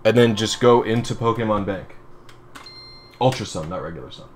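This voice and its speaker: A man's voice: on the low side, normal speed, a little hiss or hum. A man casually chatting and muttering to himself while playing a video game on a livestream. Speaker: streamer.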